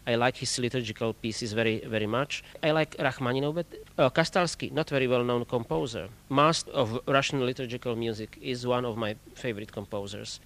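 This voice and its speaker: Man, choir director, measured formal speech. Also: medium pitch, fairly bright tone. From Czech Republic. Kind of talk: interview answers.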